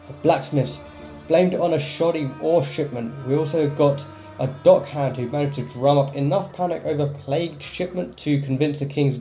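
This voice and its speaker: A man's voice: medium pitch, warm tone, rough recording. A man hosting a game playthrough, commenting casually and reading in-game text aloud. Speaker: gaming video host. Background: game music.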